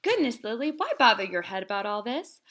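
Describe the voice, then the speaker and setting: A woman voicing a kind, upbeat immigrant father in a read-aloud storybook, reading gently and reassuringly. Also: a little high, very animated, brisk. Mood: gentle.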